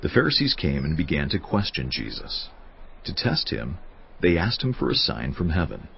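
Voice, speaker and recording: An actor playing a grave Bible narrator, telling scripture in a measured, solemn dramatic style. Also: male voice, low voice, wildly swooping pitch, gravelly, husky, full character voice. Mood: solemn storytelling. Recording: dull sound under prominent music. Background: music.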